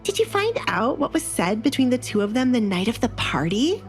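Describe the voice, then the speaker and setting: A haughty, indignant lady of a noble house, acted by a woman narrator in an audio drama. Her voice sits high and moves animatedly, accusing as it goes, with music underneath. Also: big pitch swings, normal speed. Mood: indignant, suspicious. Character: indignant scheming stepmother and jealous spoiled sister.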